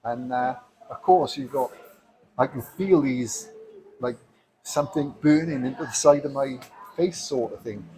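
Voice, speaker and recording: A man's voice: medium pitch, a little slow, dark tone, low-quality recording. A man co-hosting a casual chat, laid-back and rambling, with many fillers. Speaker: show co-host.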